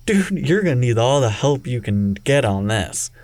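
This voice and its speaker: A man's voice: mid-range voice, moderate pace, no echo, close to an everyday voice. A man acting in an audio drama as an eager, sarcastic young sidekick. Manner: sardonic, animated.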